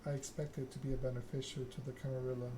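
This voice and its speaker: A man plays a stern vampire prince, a woman ruler in a story game. The delivery is formal and deliberate, held very level, over a noisy background. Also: medium pitch, flat delivery, lightly acted.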